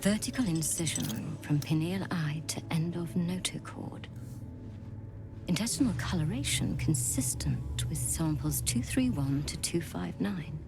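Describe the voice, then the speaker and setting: A woman's voice: lowish voice, expressive, unhurried, music in the background. A woman voicing a cold, haughty alien doctor in a fantasy game, dry, precise and condescending. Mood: curt, disdainful.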